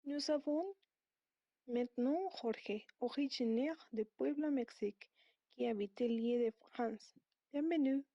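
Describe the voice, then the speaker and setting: A woman's voice: high-pitched, unhurried, dry recording, lo-fi sound. A woman interviewer asking direct, formal questions.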